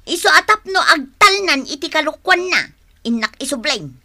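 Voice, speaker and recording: Quick-tempered Filipino radio-drama wife: high, forceful, sharp-tongued. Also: female voice, animated, exaggerated voice. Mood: everyday, chatty. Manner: scolding, sharp. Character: bossy, quick-tempered wife who orders her husband around.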